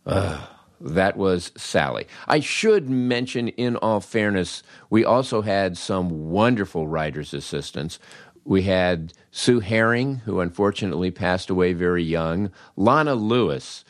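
An older American man with a low-pitched voice tells anecdotes as a podcast host. His delivery is conversational and wry, with an animated storyteller's touch. The sound is dry, with very little room in it. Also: measured pace, no echo. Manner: wry, animated, acts out dialogue in his stories.

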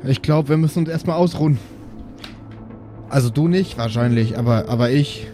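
A man playing a weary, cautious father in an improvised audio drama, speaking in a halting, stammering way. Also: medium pitch, dark tone, soft background music, close to an everyday voice. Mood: weary, hesitant. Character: tired, cautious, hesitant father urging rest.

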